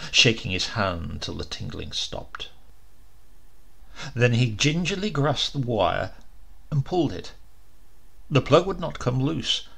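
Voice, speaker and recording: A man reads a horror story in a creaky, forceful voice of middling pitch. His delivery is deliberate and theatrical, leaning into suspense as a dramatic narrator. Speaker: audiobook narrator.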